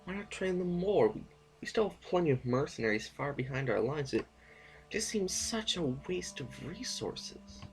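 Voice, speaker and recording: An actor playing a frank, pragmatic council officer in an audio drama, questioning in a hesitant but persistent way. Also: male voice, on the high side, big pitch swings, moderate pace, faint music behind. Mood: skeptical, concerned.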